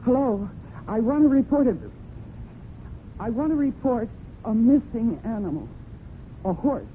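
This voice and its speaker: A woman's voice: on the high side, lively intonation, a little slow. Fraught horsewoman on muffled old radio. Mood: flustered, evasive. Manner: fraught, breathless, emotionally heightened delivery. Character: distraught, fiercely protective horsewoman narrating her own crime story. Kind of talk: dramatic old-time radio acting with first-person narration. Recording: muffled phone-like old radio recording with hiss.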